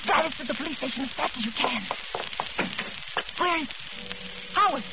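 A woman in a 1940s radio drama playing a frightened woman who narrates, talking in tense, halting bursts while she tries to sound calm. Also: sits high. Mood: panicked. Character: frightened middle-aged woman, trying to stay calm. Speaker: narrator and lead. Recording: dull old radio recording with loud hiss.

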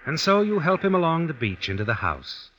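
An actor playing an ominous, all-knowing storyteller, narrating an old-time radio mystery in a suspenseful, insinuating manner. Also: male voice, fairly high pitch, very animated, brisk, fairly bright tone, slight background noise. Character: ominous, all-knowing shadowy storyteller who walks by night. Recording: muffled vintage radio recording. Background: music.

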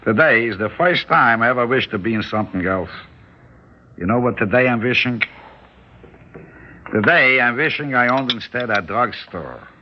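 An actor playing a weary older delicatessen owner in a radio drama, wry and politely resigned. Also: male voice, mid-range voice, moderate pace, long pauses, close to an everyday voice. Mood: wry, dry. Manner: wry, resigned, politely deadpan, inverted phrasing. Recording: dull, hissy old radio recording.

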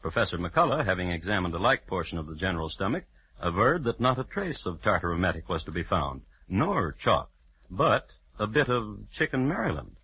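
A man's voice: sits low, very animated, fairly quick, sharp tone, full character voice. A man narrating an old-time radio crime drama with formal diction and dry irony. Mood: wry, measured. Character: formal, drily ironic narrator of a true-crime story. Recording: muffled, noisy old radio recording.

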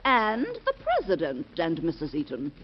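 An actress playing a haughty, scornful society wife in an old radio drama, speaking in a cutting manner. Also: female voice, deep voice, very animated, normal speed, soft background music. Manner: cutting, sarcastic. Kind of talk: 1940s radio acting. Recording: dull lo-fi old radio recording.

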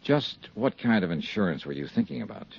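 An actor playing a wry, hard-boiled insurance investigator in a 1950s radio detective drama, with deadpan wisecracks. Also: male voice, fairly low pitch, a little fast, gravelly, breathy edge, full character voice. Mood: probing, dry. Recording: muffled 1950s radio recording with music.

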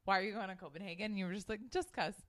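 A woman's voice: medium pitch, racing. A woman co-hosting a podcast, chatting casually and teasingly, acting out little quoted exchanges as she goes. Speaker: podcast co-host.

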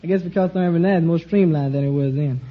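A man's voice: a little high, fast talker. Young Texas man, muffled old radio recording. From Texas, USA. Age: young adult. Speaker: quiz contestant, army draftee. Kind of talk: answering interview questions on a radio quiz show.

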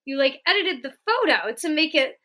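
Bright-voiced chatty woman podcast host. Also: high voice, expressive, normal speed. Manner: chatty and giggly. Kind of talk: casual chat.

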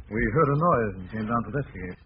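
An actor playing a resolute, inquisitive amateur sleuth in an old-time radio mystery, with an assured, dramatic, probing delivery. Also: male voice, on the low side, animated, fast talker, natural voice. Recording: tinny, noisy vintage radio recording.

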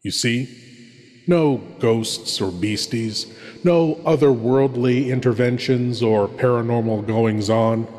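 A man's voice: medium pitch, slow, darkish tone, soft background music. A man hosting a horror show with theatrical scripted commentary, drawing out his words in an ominous, mock-macabre way. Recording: long echoing reverb, noisy ambience.

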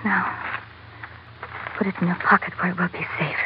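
A woman's voice: fairly low pitch, fairly even intonation, normal speed, natural voice. A woman playing a devoted, educated young sultana with an earnest manner in an old radio drama. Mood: confiding. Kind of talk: 1940s radio drama acting. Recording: dull, noisy old radio recording.

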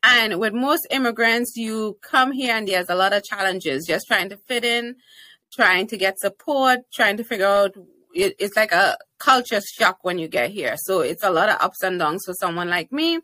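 A woman's voice: mid-range voice, moderate pace, bright, forceful tone, no room sound. A young woman from Trinidad and Tobago with a Trinidadian accent, a confident and playful podcast guest who laughs often in casual interview chat. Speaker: podcast guest, TV host and speaker.

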